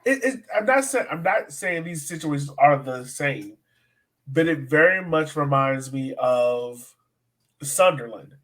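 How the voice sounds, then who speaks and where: Higher-pitched man hosting casual sports talk. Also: lively intonation, measured pace, a bit bright. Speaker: soccer podcast host.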